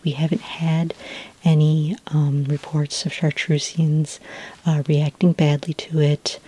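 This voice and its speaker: A woman doing a soft-spoken, half-whispered ASMR roleplay as a calm, reassuring skin care consultant, explaining gently and a little hesitantly. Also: deep voice, husky, rough recording.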